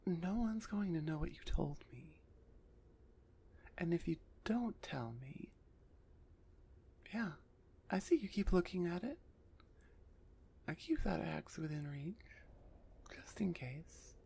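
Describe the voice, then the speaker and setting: Female minotaur executioner, played by a woman: slow, raspy half-whisper, gruff, impatient, sardonic, ASMR roleplay delivery. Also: lowish voice, sing-song, warm tone, exaggerated voice. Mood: incredulous. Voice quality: husky, breathy, often half-whispered. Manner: impatient, sardonic, addressing a captive.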